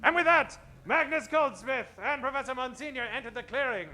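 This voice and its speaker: A man's voice: very high-pitched, lively intonation, brisk, bright, forceful tone. A man narrating a mock old-time radio adventure in a dramatic, grandiose, emphatic manner.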